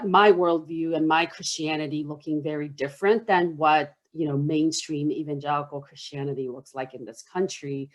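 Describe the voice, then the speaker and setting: A woman with an American accent who grew up in India, speaking candidly and with light amusement on a video panel. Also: low voice. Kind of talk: personal panel talk over video.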